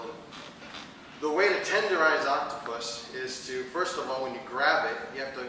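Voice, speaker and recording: A man's voice: medium pitch, noisy background. An American man doing a rambling improv monologue on stage, laughing at his own stories. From United States. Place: small theater stage. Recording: echoey hall from far off, with crowd.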